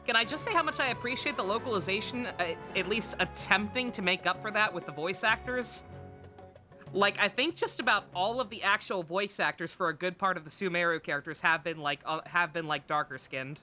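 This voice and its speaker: A woman's voice: medium pitch, brisk, bright, forceful tone. A woman live streaming a game with casual, candid commentary, rambling and stammering, with frequent swearing. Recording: game music behind the voice.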